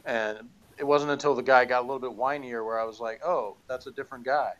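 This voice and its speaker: A man's voice: medium pitch, steady tone, fast talker, a bit bright, rough recording. Rambling man reviewing at home. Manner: rambling, self-deprecating, hesitant restarts. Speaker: show co-host. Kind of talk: casual review chat. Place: home room with bookshelves, indoors. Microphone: headphones on, desk camera.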